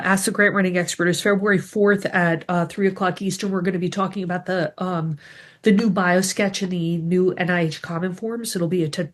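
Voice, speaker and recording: A woman with a stuffy, congested voice from a cold, hosting a members' video call about grant writing and chatting through updates with many ums. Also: mid-range voice. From New England. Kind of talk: casual video call briefing.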